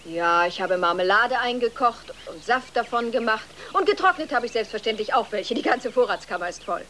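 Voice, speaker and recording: A woman playing a strict, proper farm wife and mother in a German-dubbed children's film, speaking firmly and briskly. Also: fairly high pitch, animated, sharp tone, a little hiss or hum. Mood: polite, hospitable.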